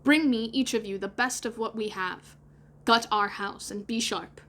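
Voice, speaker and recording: A woman voicing a bossy boy who leads a gang of children, loud and commanding in a storybook reading. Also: a little high, normal speed, a little hiss or hum, natural voice. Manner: commanding, declarative. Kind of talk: storybook read-aloud character voice.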